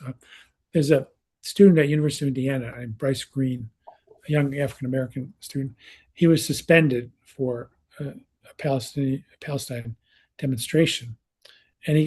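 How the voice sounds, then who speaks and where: A man's voice: mid-range voice, a little mellow, husky. A man in his sixties speaking on a panel in a conversational way, with many restarts and fillers, over a video call. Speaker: researcher and speaker. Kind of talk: panel talk.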